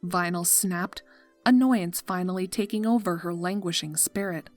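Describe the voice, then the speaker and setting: A woman's voice: on the low side, moderate pace. A woman reading scripted story narration for a fan fiction audio drama. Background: music.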